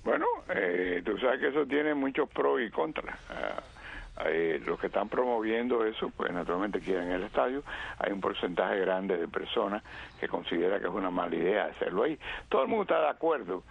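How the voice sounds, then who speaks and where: Gravelly, low-pitched man in casual sports banter. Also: lively intonation, fairly quick. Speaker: sports show panelist. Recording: dull, band-limited radio sound.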